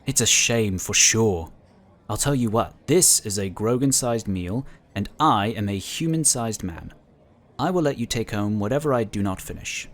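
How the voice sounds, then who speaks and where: An actor playing an amiable, knowledgeable psionic inquisitor who explains things in a talkative, encouraging way in an audio drama. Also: male voice, fairly low pitch, lively intonation, moderate pace. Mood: enthusiastic.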